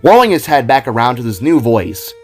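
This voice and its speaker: A man's voice: mid-range voice, very animated, a little fast, a little mellow, husky. A man giving a scripted narration of an audio drama, as its storyteller. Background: music.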